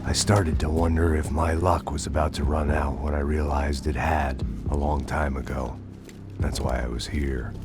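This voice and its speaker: An actor playing a weary, middle-aged American ex-cop, narrating in a brooding, deadpan noir style. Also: male voice, rumbling low, normal speed. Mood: weary, sardonic. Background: music.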